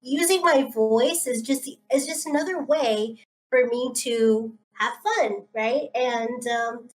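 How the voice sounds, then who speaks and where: A woman's voice: on the high side, slow, rough recording. A woman from Canada, a journalist, speaking thoughtfully and with some hesitation on a podcast. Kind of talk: casual podcast chat.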